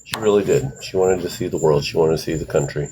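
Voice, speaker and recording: A man's voice: extremely low pitch, quick pace, dark tone, a little hiss or hum, rough recording. A man in his fifties, a father speaking as a guest on a live stream, earnest and reflective. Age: 50s. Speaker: guest, father of the woman discussed. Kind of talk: casual live stream talk.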